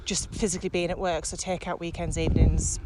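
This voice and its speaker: A woman's voice: fairly low pitch, level tone, fast talker. A British woman co-hosting a podcast in casual conversation, recorded outdoors while walking. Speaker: podcast co-host, corporate trainer. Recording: outdoor recording with clear traffic noise.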